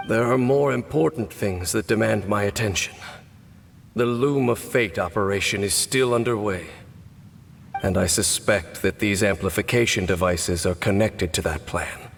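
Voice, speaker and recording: A man's voice: fairly low pitch, normal speed, gravelly, a little hiss or hum. A man voicing a stern, weary, ageless warrior captain, grave and formal, in a video game cutscene. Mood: dry, stern. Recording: long reverb, music behind.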